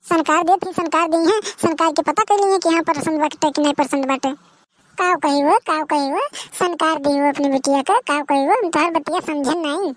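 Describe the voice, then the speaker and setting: A woman's voice: high voice, normal speed, no echo, lightly acted. A woman with a rural Awadhi accent voicing garrulous, boastful village women in a bantering matchmaking comedy cartoon. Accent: Awadhi, rural northern India.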